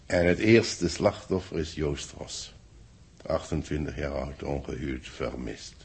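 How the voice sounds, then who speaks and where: An actor playing a grave, authoritative boss with a husky voice and solemn dramatic phrasing, in 1960s radio drama style. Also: male voice, a bass voice, measured pace, heavily acted. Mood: troubled, grave.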